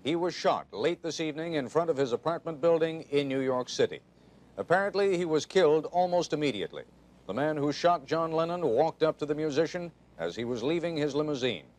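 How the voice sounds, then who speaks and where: A male news reporter reads a formal broadcast report from archive footage. His voice sits a little high for a man. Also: lively intonation, a little fast, a little mellow.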